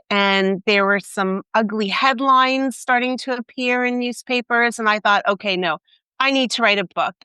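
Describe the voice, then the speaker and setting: A woman's voice: medium pitch, bright, forceful tone, smooth, no room sound. A middle-aged woman, an author interviewed as a guest, speaking in a thoughtful, conversational way.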